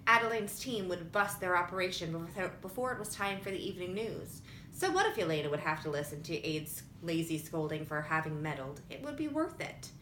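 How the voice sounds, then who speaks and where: A woman reading scripted fiction aloud as an audiobook narrator. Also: fairly bright tone. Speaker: audiobook reader. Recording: roomy echo with faint rumbling noise.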